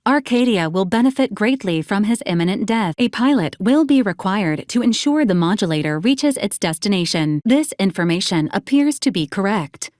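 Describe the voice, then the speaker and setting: A woman voicing a formal, precise and deadpan female robot assistant in a video game, polite and cheerfully morbid. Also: medium pitch, moderate pace, few pauses, no echo, studio quality, lightly acted. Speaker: robot assistant to a military commander. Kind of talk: scripted video game voice lines, formal announcements.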